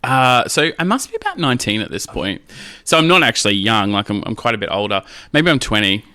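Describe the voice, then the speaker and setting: An Australian man chatting casually and candidly as a podcast guest, telling stories in a cheeky, animated way and acting out bits of dialogue. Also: on the low side, brisk, no echo. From Australia, rural Queensland. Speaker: podcast guest, drag queen. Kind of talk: casual candid anecdotal chat.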